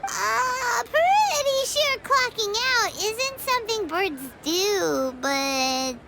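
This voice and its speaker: An actress voicing a tiny, childlike, cheeky fairy companion in a video game, with a squeaky voice. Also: female voice, very animated, extremely slow, gravelly, very noisy, exaggerated voice. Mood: bemused. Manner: talks of self in third person, childlike. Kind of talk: cartoonish video game acting. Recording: music behind the voice. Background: playful game music.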